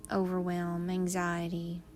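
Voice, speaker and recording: A woman leading a guided meditation in a soothing, unhurried manner, leaving long pauses between phrases. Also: on the low side, completely flat delivery, darkish tone, soft background music.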